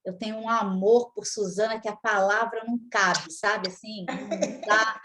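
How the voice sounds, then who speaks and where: A woman's voice: medium pitch, flat delivery, moderate pace, a bit bright. A middle-aged woman opening a lecture as guest speaker, effusive and emotional, repeating herself.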